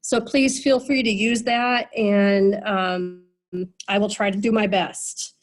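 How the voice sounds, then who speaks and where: A woman's voice: medium pitch, steady tone, slow. A woman moderating an online meeting over a video call, sounding nasal from a cold, chatty with many fillers and restarts.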